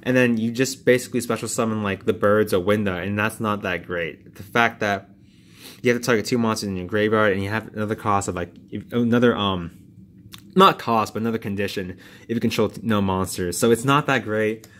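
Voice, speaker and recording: A man's voice: lowish voice, brisk, a bit bright. Casual male vlogger, rambling and filler-heavy, slightly strained voice. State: voice strained from long talking. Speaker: card game YouTuber. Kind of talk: casual card review vlog.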